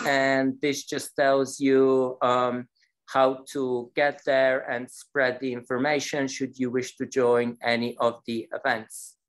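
A man giving a slide presentation on a video call, speaking in a halting, hesitant way. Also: mid-range voice, level tone. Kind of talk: slide presentation at an online meeting. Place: meeting room, on a video call.

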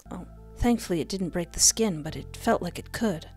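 A playful, expressive woman doing a let's play, reading game text aloud and commenting, close on a desk microphone. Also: on the low side, moderate pace. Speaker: gaming streamer. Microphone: close desk microphone with headset. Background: game music.

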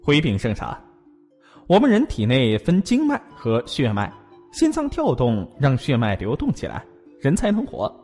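An actor playing a glib court physician in an audio drama, explaining emphatically and deferentially. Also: male voice, mid-range voice, wildly swooping pitch, normal speed, mellow. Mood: earnest, explaining. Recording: long hall reverb, music behind.

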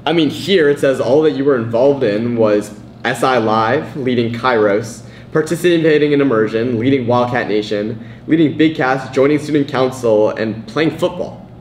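A man's voice: mid-range voice, normal speed, lightly acted. Young guy acting a sceptical student, echoey. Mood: dry, sceptical. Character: confused, sceptical male student cramming for a history test. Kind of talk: amateur student comedy skit acting. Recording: echoey room, faint background noise.

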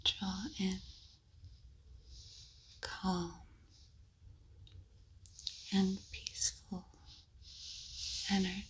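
A woman whispering a guided meditation in a slow, soothing voice, leaving long pauses between phrases. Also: mid-range voice, completely flat delivery, slightly rough. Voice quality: unvoiced whisper.

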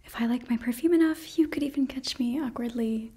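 Very breathy young woman whispering. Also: a little high, a little fast, mellow. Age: young adult. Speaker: ASMR host reading a sponsor ad. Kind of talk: ASMR whispered product review. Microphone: close microphone.

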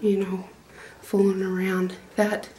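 A woman's voice: medium pitch, monotone, slow pace, noisy background. A middle-aged woman, giving a rambling, homespun tour of her small wooden goat barn with lots of fillers and restarts. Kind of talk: casual walkthrough narration. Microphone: handheld phone camera.

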